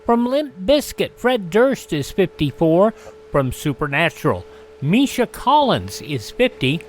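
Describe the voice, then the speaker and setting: Guy radio host reading announcements, really animated, faint noise behind. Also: high-pitched, unhurried. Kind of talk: radio birthday roundup and show announcing.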